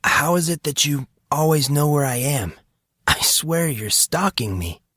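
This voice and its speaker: A man's voice: on the high side, expressive, unhurried, a bit bright, husky, no room sound, clean studio sound, voice pushed up, full character voice. A man voicing a wry, defensive teenage boy in an audiobook drama. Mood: sarcastic teasing.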